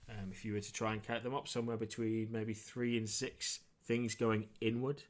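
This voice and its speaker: A man with a fairly low voice acts as game master at a tabletop game. He talks conversationally, feeling his way through descriptions as he makes them up. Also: level tone. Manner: conversational, improvised, halting descriptions. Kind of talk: narrating a tabletop role-playing game.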